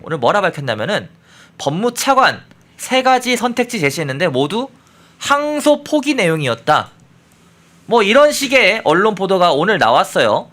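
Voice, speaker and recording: A man's voice: high-pitched, big pitch swings, normal speed, fairly bright tone. A man hosting a political talk show in a conversational way, introducing points and putting questions to a guest. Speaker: host.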